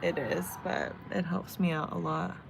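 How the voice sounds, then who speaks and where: A young woman rambles casually to her livestream viewers, her voice low and gravelly with some vocal fry. She chats loosely while doing her makeup. Faint background noise sits under the recording. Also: sing-song, normal speed. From San Diego, California. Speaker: livestreamer and tarot reader. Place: home in Vietnam.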